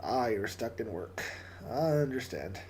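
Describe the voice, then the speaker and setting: A man with a raspy, hoarse voice hosting a casual live stream and closing with a prayer, often stumbling and repeating words. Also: mid-range voice, animated, measured pace, darkish tone, slight background noise. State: hoarse from coughing. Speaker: livestream host.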